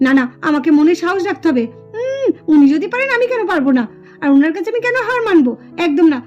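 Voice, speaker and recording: A woman's voice: very high voice, sing-song, quick pace, highs cut off, soft background music. A woman voicing an irritable, easily startled, proud young aunt in a puppet cartoon, scolding with dramatic indignation. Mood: nervous, then proud. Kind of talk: exaggerated Bengali doll-play cartoon acting.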